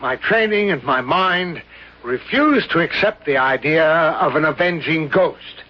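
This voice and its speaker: A cautious, educated professor in a radio play, with a somewhat high male voice. He speaks formally and hesitantly, weighing his words, through a dull lo-fi recording. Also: expressive, unhurried. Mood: doubting. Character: prudent, skeptical male college professor, shaken. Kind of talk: radio drama acting.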